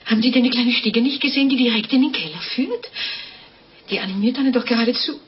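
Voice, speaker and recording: An actress in a 1950s German radio drama playing a French innkeeper's wife who confesses murders in a calm, guileless, chatty way. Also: female voice, on the high side, fast talker, close to an everyday voice. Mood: breezy, self-justifying. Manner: matter-of-fact, guileless, chatty. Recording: dull, noisy old radio recording.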